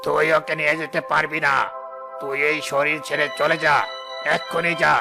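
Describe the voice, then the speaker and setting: A man's voice: on the high side, little pitch movement, a little slow, vocal fry, over music. A man playing a stern, solemn village fakir exorcist in a horror cartoon, grave and authoritative. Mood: stern challenge. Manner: grave, authoritative, reassuring to the frightened.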